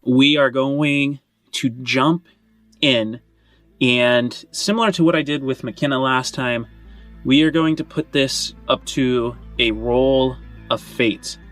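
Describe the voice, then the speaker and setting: A man's voice: medium pitch, slow pace, fairly bright tone. A man hosting and narrating a tabletop game as game master, with casual, conversational storytelling. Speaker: game master and show host. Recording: background music under voice. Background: music.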